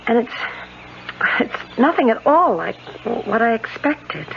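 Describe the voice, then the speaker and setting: Earnest fragile young woman in 70s radio play, halting, very lively pitch, slightly hissy tape. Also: gravelly, exaggerated voice. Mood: hesitant, earnest. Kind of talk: 1970s radio drama acting. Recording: noisy muffled vintage radio broadcast.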